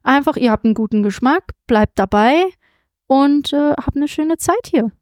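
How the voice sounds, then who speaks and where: A woman talks about her work as a guest, often pausing with fillers and restarting sentences. Her voice sits a little high. The recording is close and dry. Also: a little mellow, no room sound. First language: German. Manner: hesitant, many fillers and restarts. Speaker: guest, comic artist. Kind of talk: casual interview. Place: comic convention.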